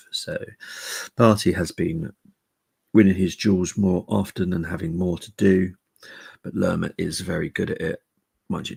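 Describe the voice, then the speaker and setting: A middle-aged man hosting a live football preview stream, speaking quietly while chatting casually with viewers. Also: sits low, dry recording. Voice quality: gravelly. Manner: keeping voice down, casual stats chat with viewers. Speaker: fan channel host.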